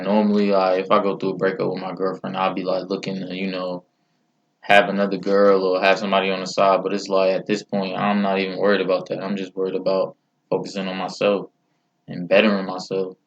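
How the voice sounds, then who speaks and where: A man guest on a podcast, speaking slowly and hesitantly about his own growth, on a dull, slightly noisy recording. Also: deep voice. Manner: slow, reflective, hesitant. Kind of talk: casual chat. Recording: dull lo-fi sound with faint noise.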